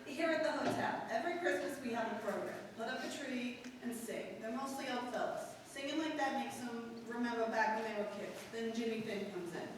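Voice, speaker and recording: A woman's voice: a little high, a little fast, few pauses, natural voice. A woman playing an obliging, offhand clerk at a down-and-out hotel in a 1950s-style radio drama, talking in a colloquial way. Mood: fond, reminiscing. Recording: distant, very echoey hall with noise.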